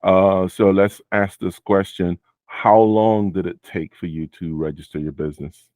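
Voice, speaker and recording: A man hosting a casual interview with one of his students, relaxed and full of fillers, often repeating the guest's answers back. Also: low-pitched, measured pace, dry recording. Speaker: host and Amazon seller mentor.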